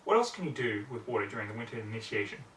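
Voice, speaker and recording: A serious, patient lady unicorn teacher from a storybook as a man acts it out. The delivery is measured and coaxing, set back from the mic in a roomy space with faint noise. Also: medium pitch, sing-song, fairly bright tone, distant mic. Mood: explaining.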